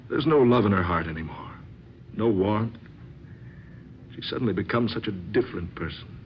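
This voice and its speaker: An actor playing a discontented, skeptical husband in an old-time radio drama, gentlemanly and earnest in short, clipped sentences. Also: male voice, lowish voice, animated, moderate pace. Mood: desperate, confiding. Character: skeptical, discontented husband in secret love affair. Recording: muffled, noisy old radio recording.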